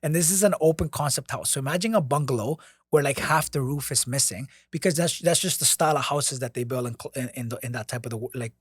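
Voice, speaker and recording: A man's voice: mid-range voice, fast, breathy edge, no room sound, studio quality. Rambling young man telling a story. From born in New York, raised abroad by grandmother. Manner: rambling, lots of restarts and filler, swears casually. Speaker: podcast co-host. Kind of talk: casual storytelling chat.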